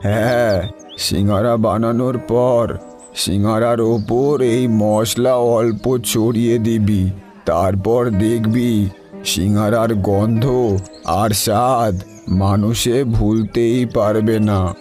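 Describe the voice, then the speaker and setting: A man voicing a mysterious, kindly old holy man in a cartoon, solemn and deliberate. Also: lowish voice, steady tone, darkish tone, faint music behind.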